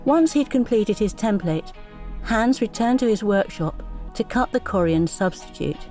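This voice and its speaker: A woman's voice: mid-range voice, animated, unhurried, mellow. A woman reading a scripted voice-over as narrator of a sailing vlog. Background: faint music.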